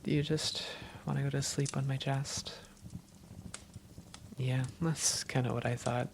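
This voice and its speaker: Woman playing a low, creaky-voiced vampire girlfriend. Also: steady tone, unhurried, slight background noise, heavily acted. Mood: tender.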